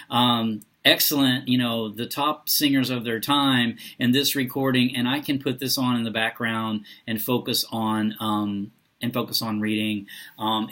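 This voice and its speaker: A man talking casually and unscripted as a vlogger, halting often as he searches for his words. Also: medium pitch, fairly bright tone. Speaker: book and reading vlogger. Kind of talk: casual unscripted vlog talk.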